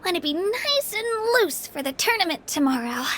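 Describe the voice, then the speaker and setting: A woman playing an anxious, sweet but determined little girl fighter in a video game, speaking in a halting, earnest way. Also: extremely high pitch, very animated, fairly bright tone, full character voice. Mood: cheerful, eager.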